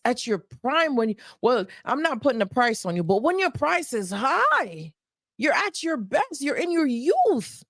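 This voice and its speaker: Woman life coach, emphatic, repeats herself, higher voice, dry sound. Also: dry recording. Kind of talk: livestream advice talk.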